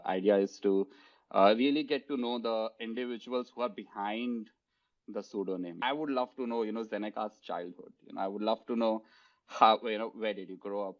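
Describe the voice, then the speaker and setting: A man with an Indian accent hosting an interview, speaking eagerly and haltingly, with many "you know" fillers. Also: on the low side. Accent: Indian English. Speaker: host. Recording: dull lo-fi sound.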